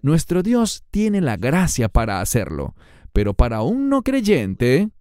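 A man's voice: a little high, wildly swooping pitch, slow, a little mellow, clear, resonant tone, dry recording. Emphatic preacher man, dry close sound. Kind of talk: sermon.

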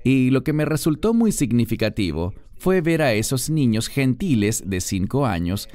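A man's voice: mid-range voice, very animated, normal speed, dark tone, no echo. A man being interviewed as a guest, telling his personal story in an earnest, storytelling way, heard as a Spanish voice-over.

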